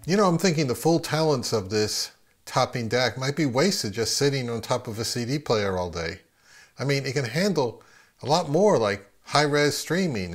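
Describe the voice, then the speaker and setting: A middle-aged man, narrating a product review of audio gear. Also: medium pitch.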